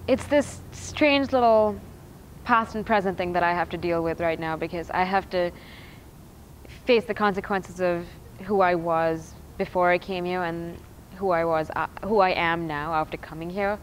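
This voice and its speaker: A woman's voice: fairly low pitch, a little hiss or hum, rough recording. Reflective teen girl from India. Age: teens. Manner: reflective and halting, restarting words. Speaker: student interviewee. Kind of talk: documentary interview.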